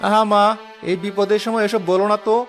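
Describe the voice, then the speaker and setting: A man's voice: high-pitched, level tone, fairly quick, faint music behind, lightly acted. A man voicing a kind, practical young village headman in a cartoon, earnest and encouraging. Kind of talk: Bengali cartoon voice acting.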